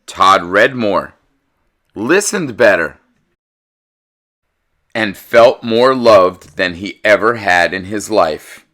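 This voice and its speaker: Male read-aloud host, unhurried and theatrical, with lively swings in pitch, for children's picture books. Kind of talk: expressive children's picture book narration.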